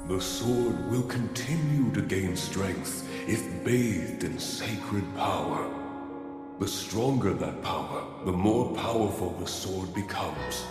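A man playing a solemn, wise guardian in a video game, giving measured counsel. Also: low-pitched, lively intonation. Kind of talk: video game cutscene acting. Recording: game audio, echoing hall, loud music.

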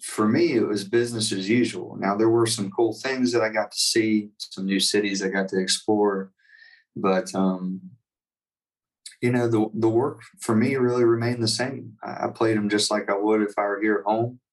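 A man's voice: lowish voice, fairly even intonation, normal speed, far from the mic, poor audio. A man, a touring singer, talking casually in an interview with many fillers and restarts. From United States.

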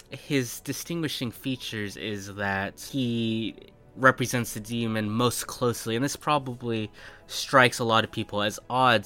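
A man's voice: fairly low pitch, sharp tone, clean tone. A man speaking as a game master, hosting a fantasy role-playing show and setting up questions for a player. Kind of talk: scripted intro narration and character interview. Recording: music behind the voice. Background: background music.

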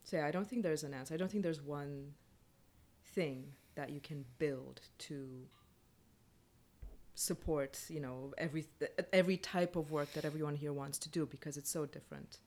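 A woman's voice: low voice, fairly even intonation, moderate pace, a little mellow. A woman speaking as a playwright in a casual panel discussion, frank in her opinions and often restarting her phrases.

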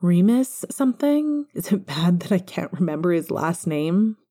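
A woman's voice: lowish voice, sing-song, normal speed, dark tone. A woman voicing a cocky yet nervous, lovestruck man in an audiobook. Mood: smitten. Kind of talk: audiobook fan fiction character dialogue.

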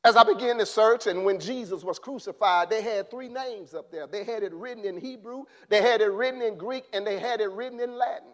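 A man preaching a sermon in an impassioned way, rising to shouts and then dropping low. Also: brisk, few pauses.

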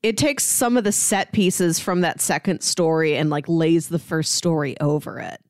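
A woman's voice: low-pitched, normal speed, a little mellow, no echo, clean studio sound. A young adult woman co-hosting a podcast, giving her opinions in casual but articulate conversation. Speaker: podcast co-host.

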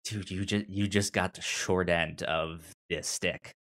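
A man co-hosting a podcast, casually discussing a film in a halting way, often repeating and restarting his words. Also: deep voice, fairly even intonation, a bit bright. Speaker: podcast co-host reviewing a film.

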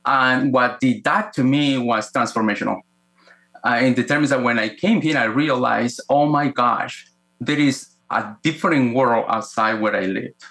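A man speaks with a Guatemalan Spanish accent in a bright, forceful voice of medium pitch. He tells personal stories as a podcast guest. His phrasing is halting, with repeated words and frequent restarts. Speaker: podcast guest, executive coach. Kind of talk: conversational personal storytelling.

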